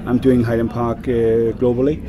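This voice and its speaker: Danish man, halting, crowd behind. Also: mid-range voice, completely flat delivery, rough recording. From Denmark. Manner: hesitant, many fillers and repeated words. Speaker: whisky brand ambassador. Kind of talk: casual interview.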